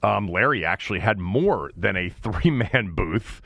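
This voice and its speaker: An American man hosting a casual sports interview, talking in a halting, rambling way that often interrupts itself. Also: fairly low pitch, animated, gravelly, bright, forceful tone, dry recording, clean studio sound. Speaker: sports radio and podcast host.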